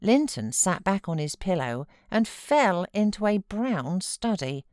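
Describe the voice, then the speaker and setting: A British woman reading classic literature as an audiobook narrator, in a measured, expressive storytelling manner. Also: medium pitch, dry recording, studio quality. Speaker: audiobook narrator, voiceover artist.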